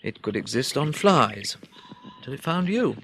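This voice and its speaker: An actor playing a shrewd, thoughtful expert with an English accent and a measured, foreboding manner in a classic radio drama. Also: male voice, medium pitch, big pitch swings, creaky, a bit bright, slight background noise, heavily acted. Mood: ominous. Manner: measured, musing, probing. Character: thoughtful, foreboding male triffid expert, shrewd and matter-of-fact.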